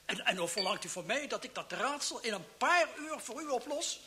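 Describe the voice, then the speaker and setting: Absent-minded professor, high voice, animated, pedantic, halting, noisy vintage radio drama recording. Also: male voice, exaggerated voice. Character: muddled, pedantic male professor of toxicology. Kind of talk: vintage Dutch radio play acting.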